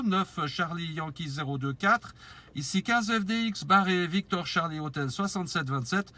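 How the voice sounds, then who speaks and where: Amateur radio operator, male, higher-pitched voice, cordial, spelling out call signs phonetically. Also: bright, forceful tone.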